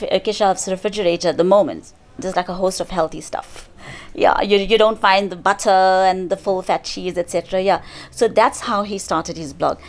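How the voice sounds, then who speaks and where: A middle-aged woman, chatting in an interview about her son's cooking and diet, seated in an indoor lounge. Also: mid-range voice. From South Africa. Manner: chatty, animated, with frequent restarts and hand gestures. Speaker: interviewee, cricketer's mother. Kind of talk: casual interview chat.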